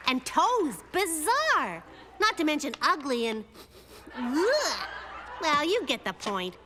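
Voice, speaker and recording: A woman voicing a quick-witted, sassy young girl in a children's cartoon, speaking in a wry, expressive way. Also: sits high, background noise, full character voice. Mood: chatty, wry. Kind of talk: animated children's cartoon acting. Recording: music under the dialogue.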